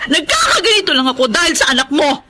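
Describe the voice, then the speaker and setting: An actress playing a jealous, sarcastic and furious wife in a Filipino radio melodrama. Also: female voice, high-pitched, big pitch swings, quick pace, bright, forceful tone, full character voice. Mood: angry, accusing.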